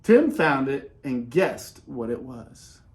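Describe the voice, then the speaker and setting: A man reading a children's picture book aloud in an expressive way, with dramatic pauses and casual, conversational delivery. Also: medium pitch. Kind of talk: animated read-aloud with casual asides.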